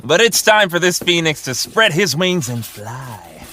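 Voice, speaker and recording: A man's voice: on the high side, sing-song, a little slow, pushed and bright, full character voice. A man playing a flamboyant, self-absorbed actor with a pompous, gushing, theatrical manner, in a comedic audio drama. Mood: elated, grandiose.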